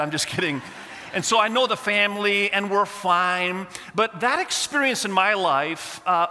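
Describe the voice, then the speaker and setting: A man's voice: high voice, long reverb tail. A man who grew up in Indonesia, with Indonesian as his first language, telling a funny story from his school days as part of a sermon. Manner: animated, humorous storytelling. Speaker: pastor.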